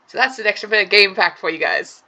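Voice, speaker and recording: Hoarse woman chatting over gameplay. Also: mid-range voice, fast, sharp tone, lo-fi sound. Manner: chatty, explains game details step by step. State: hoarse from a sore throat. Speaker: let's play gamer. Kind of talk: casual gameplay commentary. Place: room at home.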